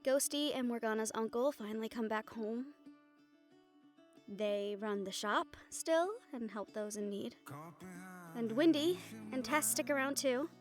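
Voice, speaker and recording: A woman's voice: a little high, unhurried, natural voice. A woman playing a writer who fondly tells how her characters' stories end, in an intimate audio roleplay style. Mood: fond, wistful. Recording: music playing behind the voice. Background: background music.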